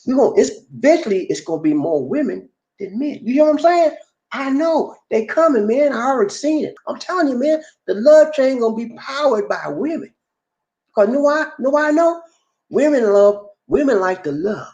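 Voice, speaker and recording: A man's voice: extremely high pitch, animated, normal speed, darkish tone, dull audio. A man hosting a casual livestream, reading viewer comments in a playful, teasing way. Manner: Southern American vernacular, playful and teasing. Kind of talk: casual chat.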